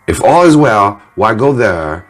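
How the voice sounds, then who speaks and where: Man reading as a cautious, animated henchman. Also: lowish voice, unhurried, faint music behind, pitched above the performer's own voice.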